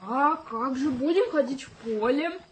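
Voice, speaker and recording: A woman's voice: high-pitched, unhurried, darkish tone, slight background noise, rough recording. An actress playing a breezy, dreamy young expectant mother in a radio play, with lilting, sighing exclamations. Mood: sleepy, easygoing. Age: young adult.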